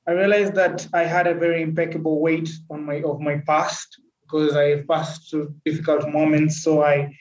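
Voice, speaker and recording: Guy in his 20s, reflective and earnest, kinda high flat voice, echoey muffled recording. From Kenya. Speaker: podcast guest. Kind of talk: personal storytelling in an interview.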